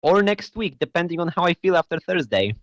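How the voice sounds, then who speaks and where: A man's voice: fairly high pitch, very animated, fairly quick, no echo. A young man whose first language is Polish, running a tabletop game on a voice call, hesitant and self-deprecating, often checking in with "right?". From Poland. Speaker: game master. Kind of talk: casual online tabletop game chat.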